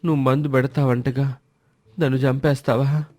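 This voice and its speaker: A man's voice: mid-range voice, flat delivery, normal speed, dark tone, lightly acted. A man voicing a frightened, sick villager in a moral story, timid and wondering. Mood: fearful.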